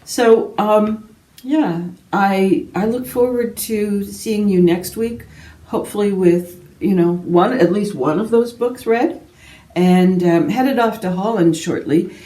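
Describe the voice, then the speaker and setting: A woman talks casually to her viewers in a chatty, self-deprecating way. Her voice is very mellow and relaxed. She is recorded a little way from the microphone, in a room with noticeable echo. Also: mid-range voice, slow. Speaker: book vlogger. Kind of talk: casual rambling chat to viewers. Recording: roomy echo, distant microphone.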